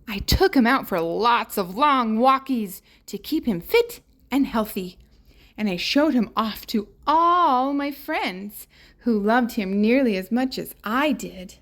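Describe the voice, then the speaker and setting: An earnest little girl played by a woman tells her storybook tale with wide eyes. Her voice is high and swings up and down as she dotes on every detail, taking her time.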